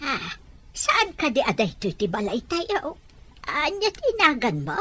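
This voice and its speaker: A woman's voice: sits high, wildly swooping pitch, a little slow, sharp tone, full character voice. A woman playing a forgetful, confused old grandmother in an audio drama, repeating herself. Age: older. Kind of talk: amateur audio drama acting.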